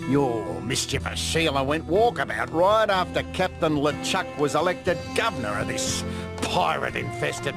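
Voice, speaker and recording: An actor with an Australian accent voicing a smug, sneering mandrill villain in a video game, throwing florid, mocking insults. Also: male voice, a little high, expressive, normal speed, gravelly. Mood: scheming, mocking. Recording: voice over game music.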